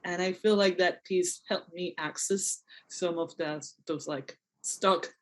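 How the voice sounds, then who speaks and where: A woman's voice: lowish voice, steady tone, takes it slowly. A woman dancer and choreographer, a podcast guest, speaking thoughtfully in bursts and laughing often. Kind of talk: reflective interview conversation.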